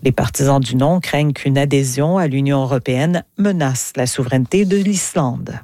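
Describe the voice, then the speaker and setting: A woman's voice: very deep voice, moderate pace, dark tone, no echo. A woman reading scripted news as a radio anchor.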